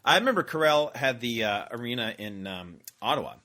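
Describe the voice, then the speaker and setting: A man talking casually on a home-recorded podcast, full of jokes, ums and restarts. His voice is bright and forceful, swinging widely in pitch as he riffs. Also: expressive, brisk. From Canada. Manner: joking, rambling, with many fillers and restarts. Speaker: podcast co-host. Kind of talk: casual chat. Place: at home in front of a computer.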